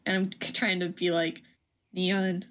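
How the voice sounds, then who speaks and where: Young American woman, slightly low voice, chatting. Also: level tone, a little slow, a bit bright. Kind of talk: casual chat on a livestream.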